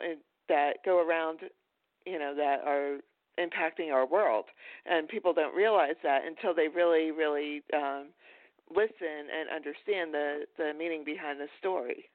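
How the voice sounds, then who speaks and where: A woman's voice: deep voice, no echo. A woman hosting a casual interview, speaking in a hesitant, meandering way. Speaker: podcast host, interviewer. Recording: tinny phone-like line, dry.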